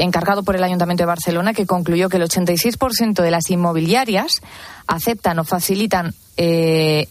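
A woman hosting a radio discussion, measured and reading out figures. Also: on the low side, no room sound. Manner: measured, reads figures and data. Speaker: radio talk show host.

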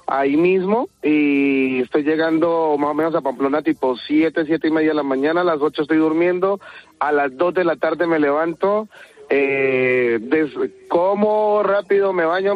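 Over a thin, tinny telephone line, a man with a Colombian accent talks as a radio caller. His voice is slightly high, and he speaks politely with small hesitations. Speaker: radio show caller, delivery driver and radio broadcaster. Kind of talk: phone conversation on air.

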